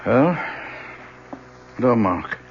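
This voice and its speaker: An actor playing an earnest, principled professor and protective father in a 1970s radio drama, speaking in formal, measured phrases. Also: medium pitch, big pitch swings, background noise. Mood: firm, defensive. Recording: dull vintage radio recording.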